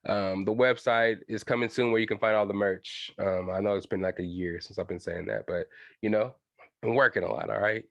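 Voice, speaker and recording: A man's voice: on the low side, fairly even intonation, fairly quick, creaky, no echo, lo-fi sound. A young man with a stuffy nose hosting his own podcast alone, chatting loosely in slang and swearing, talking straight to his listeners. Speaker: podcast host. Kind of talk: casual solo podcast chat.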